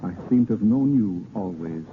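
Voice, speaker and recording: An actor playing an ardent, gallant vagabond poet posing as a lord, with theatrical, hesitant pauses, in a 1930s radio drama. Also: male voice, lowish voice, animated, a little hiss or hum, close to an everyday voice. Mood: ardent, wooing. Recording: muffled 1930s radio broadcast recording.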